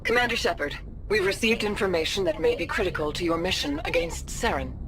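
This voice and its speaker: An actress playing a composed, formal alien councilor in a video game, over music. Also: female voice, fairly low pitch, animated, fairly quick, a bit bright, poor audio.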